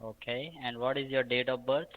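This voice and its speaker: A man's voice: medium pitch, level tone, moderate pace. A man posing as an official on a phone call, asking questions insistently in broken, ungrammatical English.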